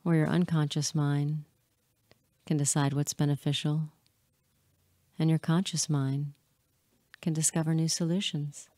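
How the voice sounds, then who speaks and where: A woman leading a hypnotic guided meditation in a soothing, measured way, pausing often between short phrases. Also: low-pitched, flat delivery, dark tone, no room sound, studio quality. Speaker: hypnotist guiding listeners.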